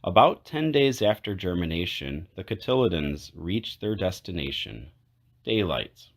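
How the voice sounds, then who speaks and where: A man with a low, deep voice reads a children's storybook aloud. His intonation rises and falls in lively swings, and he sets phrases apart with deliberate pauses. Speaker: children's book read-aloud narrator. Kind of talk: read-aloud storybook narration.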